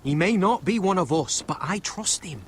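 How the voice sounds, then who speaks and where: A man's voice: high voice, expressive, normal speed, slight background noise. A man playing a loyal young wildling brother in a fantasy video game, coaxing and conciliatory. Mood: pleading. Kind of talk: dramatic video game acting.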